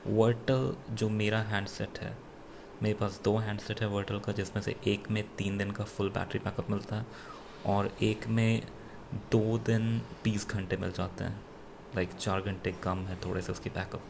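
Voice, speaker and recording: A man with an Indian accent giving an unscripted gadget review in a halting, self-correcting way, switching between two languages. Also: lowish voice, level tone, gravelly, noisy background. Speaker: product reviewer. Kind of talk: unscripted gadget review, mixing Hindi with English.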